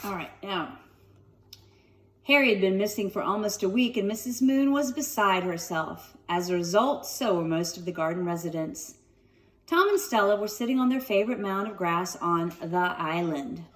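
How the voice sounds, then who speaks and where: A woman reading a children's story aloud at home with expressive narration and casual asides. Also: medium pitch, moderate pace, recorded from a distance. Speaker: storybook reader. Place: sunroom at home.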